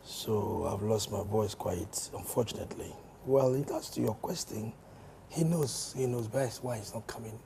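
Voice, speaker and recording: A hoarse man with a Ghanaian accent, a member of parliament speaking in a studio interview, measured and given to repeating words as he explains at length. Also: lowish voice, noisy background. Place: television studio.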